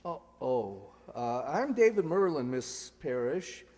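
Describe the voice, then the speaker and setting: A man's voice: mid-range voice, very animated, slow pace, a little mellow. A man playing an earnest, slightly flustered department store heir in an old-time radio comedy. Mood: earnest, apologetic. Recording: reverberant hall stage recording.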